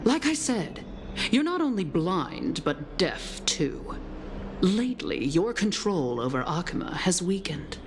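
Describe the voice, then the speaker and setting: An actress playing a scheming, villainous noblewoman in a video game, taunting and theatrical, with drawn-out phrasing. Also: on the low side, very animated, over music. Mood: mocking, gloating. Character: scheming, mocking villainous noblewoman, gloating and venomous. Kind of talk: dramatic video game voice acting.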